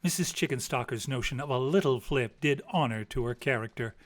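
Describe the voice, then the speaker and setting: A man reading a classic story aloud in a theatrical, expressive and deliberate literary style. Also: mid-range voice. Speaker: storybook reader.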